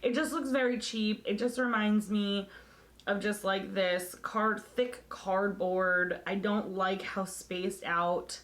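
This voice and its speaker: Woman, beauty vlogger, hoarse voice, casual to-camera chat, blunt, opinionated, mid pitch. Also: steady tone, slow pace, fairly bright tone. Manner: candid and opinionated, blunt about products. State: hoarse, losing her voice.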